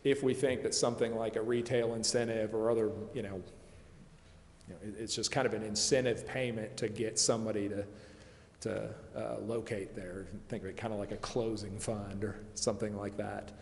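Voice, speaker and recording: A man's voice: fairly low pitch, fairly even intonation, moderate pace, gravelly. A man explaining rules at a public meeting, carefully qualifying points and working through hypothetical examples. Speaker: consultant advising a city committee. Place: city council chamber. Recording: echoey meeting chamber, faint background noise.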